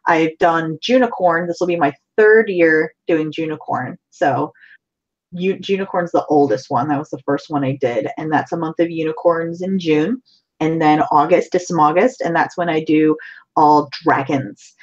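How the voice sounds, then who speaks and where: Low-voiced chatty woman, dull audio. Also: moderate pace. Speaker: artist, co-host. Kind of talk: casual livestream chat.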